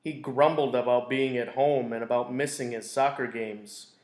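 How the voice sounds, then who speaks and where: A man reading a children's picture book aloud in a deliberate, expressive storytelling way. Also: medium pitch. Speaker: school read-aloud storyteller. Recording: roomy, echoey indoor sound.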